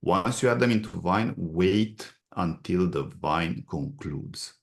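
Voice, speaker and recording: A man from Romania with a Romanian accent, explaining advice as an interview guest in a halting, instructive way. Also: low-pitched, animated, gravelly. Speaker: guest expert, agency representative.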